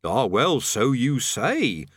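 A man's voice: fairly high pitch, very animated, normal speed, no room sound, clean studio sound, voice pushed up. A nervous storybook adventurer, performed by a man, talks in a lifted, swooping voice. He is evasive and cowardly, forever making polite, awkward excuses. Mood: wary.

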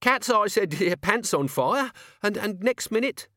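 A man's voice: high-pitched, lively intonation, a little slow, pushed and bright, no echo, clean studio sound, voice pushed up, heavily acted. A man voicing an uneasy, complaining gangster with colloquial speech in an audiobook. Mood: flustered. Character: uneasy, whiny rank-and-file gangster.

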